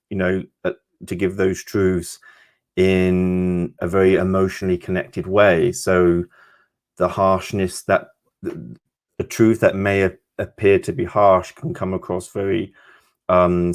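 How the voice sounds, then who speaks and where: A man who reads tarot, discussing the cards conversationally in a reflective, halting way. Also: low-pitched. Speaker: tarot reader.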